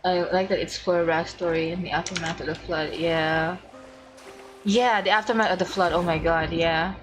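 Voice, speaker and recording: A woman giving casual live gameplay commentary as a streamer, halting as she thinks aloud. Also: lowish voice, fairly even intonation, over music. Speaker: game streamer.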